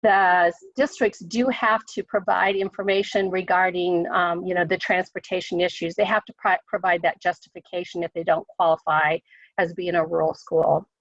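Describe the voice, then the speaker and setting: Hoarse woman with a fading voice. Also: on the low side, fairly even intonation, dry recording. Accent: American. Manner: halting, with many ums and restarts. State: hoarse from a cold. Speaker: state education official. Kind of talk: briefing on a conference call. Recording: dry muffled call audio.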